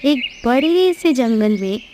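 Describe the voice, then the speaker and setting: A woman narrates an animal fable for children. Her voice sits a little high and she takes the story very slowly. There is faint background noise behind her. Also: expressive.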